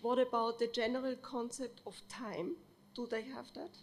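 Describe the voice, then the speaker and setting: A woman's voice: a little high, steady tone, moderate pace. A woman biologist in the audience asking a short, direct question. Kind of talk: question from the floor.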